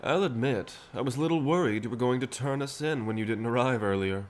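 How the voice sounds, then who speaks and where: An actor playing a cheerful, self-assured, century-old vampire rebel leader in an audio drama, courteous and persuasive with a theatrical manner. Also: male voice, mid-range voice, a little fast, slight background noise, natural voice. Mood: wry, chipper. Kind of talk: scripted audio drama acting.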